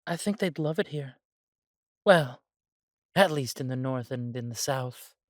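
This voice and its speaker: Measured, close-sounding man voicing a humble elf brother. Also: mid-range voice, expressive, no echo, close to an everyday voice. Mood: easygoing. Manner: measured, slightly formal phrasing with sighs and pauses. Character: warm, humble elf brother without magic, devoted to family. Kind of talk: scripted fantasy audio roleplay.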